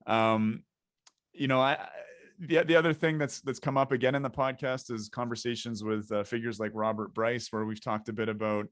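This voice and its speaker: A man's voice: mid-range voice, fairly even intonation, moderate pace, dry recording, studio quality. A man hosting a podcast interview, talking at length in a rambling, thinking-aloud way. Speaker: podcast host.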